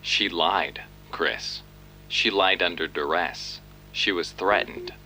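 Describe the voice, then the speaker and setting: An actor playing a blunt, practical police colleague in an audio drama, grim, clipped and matter-of-fact. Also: male voice, low-pitched, slow, bright, forceful tone, slight background noise, heavily acted, poor audio. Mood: urgent.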